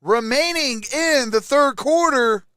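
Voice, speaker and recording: A man's voice: very high voice, takes it slowly, bright, forceful tone, clean tone, no echo, clean studio sound. A man hosting a sports livestream, calling plays casually and chatting with viewers as he reacts live. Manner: casual, reads viewer comments aloud. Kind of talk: live reaction play-by-play with chat replies.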